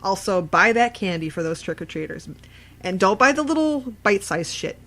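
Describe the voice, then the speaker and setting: Female podcast host, conversational, emphatic, unfiltered and foul-mouthed, mid-pitched voice. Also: sing-song, moderate pace, fairly bright tone. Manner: salty, swearing, emphatic. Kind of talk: casual podcast chat.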